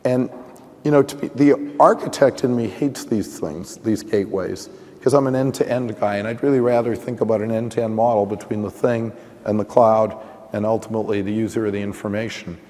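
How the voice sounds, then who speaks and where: A hoarse man giving a technical conference talk. He thinks aloud, restarting sentences and often saying "you know". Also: lowish voice, fairly even intonation, a little fast, mellow. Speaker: conference panelist. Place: conference session room. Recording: reverberant conference hall.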